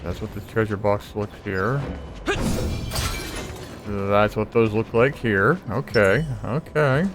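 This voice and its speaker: A man's voice: lowish voice, slow, long pauses, over music. A man giving casual, playful gameplay commentary, muttering reactions as he plays. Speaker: gameplay streamer.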